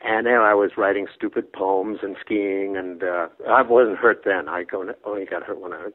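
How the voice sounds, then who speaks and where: A man in his nineties from Brooklyn, a guest telling long, rambling anecdotes that trail off softly, with restarts and chuckles. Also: low voice, steady tone, moderate pace. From Brooklyn, New York. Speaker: interview guest. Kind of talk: casual storytelling interview. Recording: phone-like narrow band.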